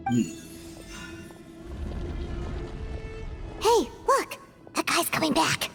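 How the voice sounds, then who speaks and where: A woman voicing a small, pert, suspicious childlike fairy companion in a video game, with a squeaky voice. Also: wildly swooping pitch, moderate pace, creaky, pushed and bright, exaggerated voice. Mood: eager. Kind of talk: video game character dialogue. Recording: long reverb, game music behind.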